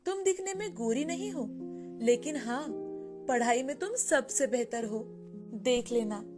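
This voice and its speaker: High-voiced encouraging grandmother voiced by a woman. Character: wise, encouraging grandmother of a schoolgirl. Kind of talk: storybook dialogue.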